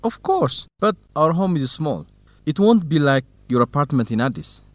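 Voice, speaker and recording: A hospitable young man in a radio drama. His pitch moves in a very lively way as he explains things helpfully, recorded dry and close. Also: normal speed, no echo. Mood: warm, hospitable. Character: warm, hospitable young Ethiopian man, student researcher. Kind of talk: scripted educational radio drama dialogue.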